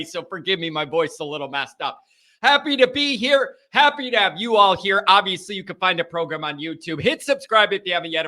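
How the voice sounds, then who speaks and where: A man hosting a sports talk show, chatting casually and energetically while his voice sounds strained. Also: high-pitched. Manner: energetic, chatty, loud openers. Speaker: sports podcast host.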